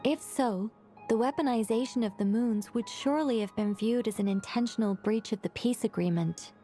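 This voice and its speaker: A woman's voice: a little high, normal speed, warm tone. A woman reading scripted narration for a lore recap video. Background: background music.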